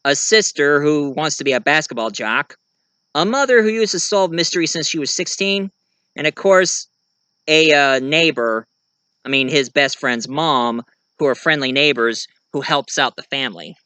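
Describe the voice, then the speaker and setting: A man giving a casual show-and-tell of his own fiction, rambling and halting mid-sentence to correct himself. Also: on the high side, lively intonation, pushed and bright, clean tone, no room sound. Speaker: amateur writer and online video creator.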